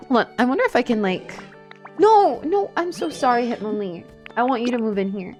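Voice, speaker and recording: A woman livestreamer chatting casually while she plays a video game, rambling and self-deprecating. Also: mid-range voice. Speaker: streamer, VTuber.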